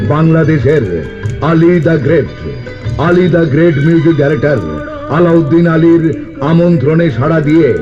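A man narrating a dramatic, emphatic scripted film promo, played back from a cassette. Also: on the high side. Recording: dull cassette playback through speakers. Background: loud music.

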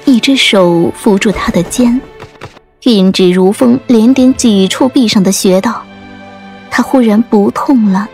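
A woman reading a dramatic audiobook story in a measured, literary storytelling style. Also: medium pitch, a little mellow. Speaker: audiobook narrator. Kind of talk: dramatic scripted storytelling narration. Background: faint music.

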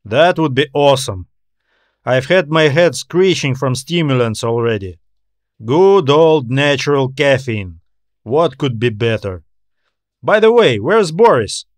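A man's voice: mid-range voice, expressive, measured pace, no room sound, close to an everyday voice. A man voicing a tired, anxious customs officer in an audio story, talking in a weary, griping way.

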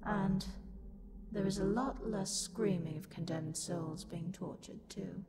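A sharp-tongued female demon, played by a woman in an audio roleplay. She talks slowly and scornfully at a middling pitch, haughty and easily angered, with a theatrical, condescending edge. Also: very noisy. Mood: disdainful. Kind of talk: scripted roleplay audio acting.

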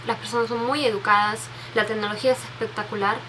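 A woman, chatting softly and answering viewer questions into a webcam, with many restarts. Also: a little high, steady tone, fast, a little hiss or hum, low-quality recording. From Peru. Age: 20s. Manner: soft, sentimental, many restarts and fillers, reads out questions. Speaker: beauty vlogger. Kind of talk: casual Q&A tag video. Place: bedroom with makeup shelves, indoors. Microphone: webcam at desk.

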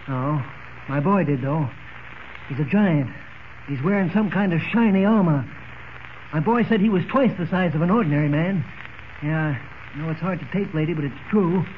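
Through a tinny, hissing old radio recording comes a man acting an armed country fellow, high-voiced and alarmed. He warns in a folksy, rough-grammared drawl, in vintage radio drama manner. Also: moderate pace, long pauses, lightly acted. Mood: wary, insistent.

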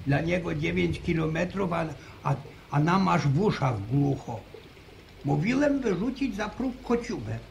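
An actor playing an older, superstitious village grandfather who tells stories with halting restarts, in a 1950s radio drama. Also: fairly high pitch, lively intonation, gravelly, full character voice. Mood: stubborn. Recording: noisy old radio broadcast recording.

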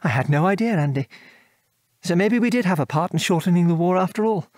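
A gentle British father and old soldier, played by a man in a radio play. His voice is fairly high for a man, measured and reflective, in a very dry recording. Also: lively intonation, dry recording. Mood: thoughtful. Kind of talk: audio drama dialogue.

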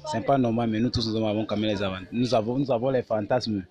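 A young man, answering a street interview deliberately and restating his points. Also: on the low side, fairly even intonation. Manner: deliberate, repeats himself while thinking. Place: outdoor campus seating area. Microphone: interviewer's phone held out. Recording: loud background music.

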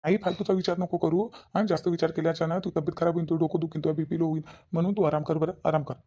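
Man playing a mellow, quick lovesick Varhadi husband. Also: on the high side, little pitch movement, natural voice. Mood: caring. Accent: Varhadi Marathi from Vidarbha. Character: lovesick, dutiful young husband soothing his mother. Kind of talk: cartoon family drama dialogue.

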